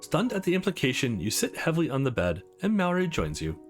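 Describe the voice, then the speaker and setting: A man reading visual novel narration aloud in an expressive, theatrical way, as a let's play streamer. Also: on the high side, quick pace. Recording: music behind the voice.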